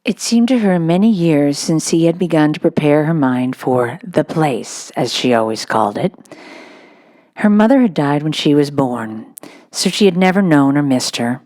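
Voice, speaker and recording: A woman with a low voice narrates a children's book. Her delivery is scripted storytelling, read aloud for young listeners. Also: animated, moderate pace, a little mellow.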